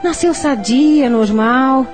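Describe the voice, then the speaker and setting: Worried middle-aged mother, high voice, emotional, lamenting, radio drama, soft music behind. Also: slow. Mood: worried, weary. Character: anxious, long-suffering middle-aged mother of a sickly daughter.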